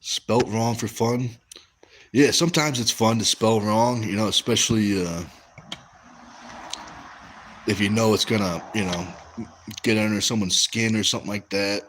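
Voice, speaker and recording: A man's voice: on the low side, steady tone, a little slow, long pauses, a bit bright, slight background noise, rough recording. A young American man hosting a casual livestream, reading chat and rambling through stories with lots of fillers and restarts. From West Coast of the US.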